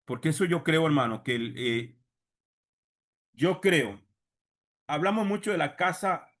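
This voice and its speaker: A man's voice: on the high side, a little slow, fairly bright tone. A man with a Costa Rican Spanish accent teaching a Bible seminar like a sermon, didactic, repeating key phrases and addressing listeners directly. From Costa Rica. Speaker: religious teacher and congregation leader.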